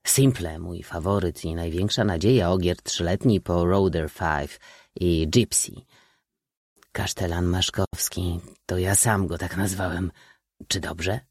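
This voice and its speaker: Man playing a low, gravelly bumbling young suitor. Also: unhurried, darkish tone, no echo, studio quality. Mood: boastful, chatty. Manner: blurting, hesitant, self-correcting. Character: bumbling young aristocratic suitor, horse-mad, eager, awkward.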